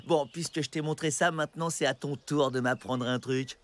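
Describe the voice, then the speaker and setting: A woman voicing a cocky, smooth-talking older boy in a children's cartoon, with a teasing, slangy manner. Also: low voice, fairly even intonation, moderate pace, lightly acted. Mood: friendly, cool. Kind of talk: animated children's cartoon dialogue. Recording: loud music behind the voice.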